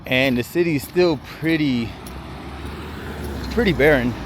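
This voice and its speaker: A male travel vlogger talks as he walks through town, his voice sitting a little high for a man. He rambles in a loose, chatty way, full of "like" and "um". Street noise and passing traffic come through clearly on his handheld recording. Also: a little slow. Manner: rambling, chatty, many "like" and "um" fillers. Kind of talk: casual walking travel vlog. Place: outdoors on quiet city streets, walking.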